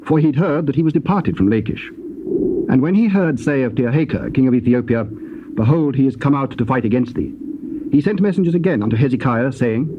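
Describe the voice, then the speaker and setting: A man's voice: mid-range voice, lively intonation. Formal male scripture narrator, noisy tape. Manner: formal, measured. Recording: noisy, muffled old cassette tape.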